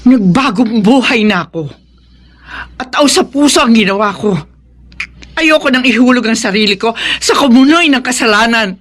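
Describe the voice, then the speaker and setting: A woman's voice: a little high, moderate pace, close to an everyday voice. An actress playing a sorrowful, repentant young single mother in a melodramatic radio drama, earnest and plaintive. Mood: firm, pleading.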